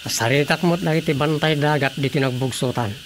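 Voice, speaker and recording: An actor playing an officious, assertive village councilor in an Ilocano radio drama comedy. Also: male voice, fairly high pitch, a little fast, slight background noise, lightly acted. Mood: determined. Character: officious male village councilor, determined and meddling.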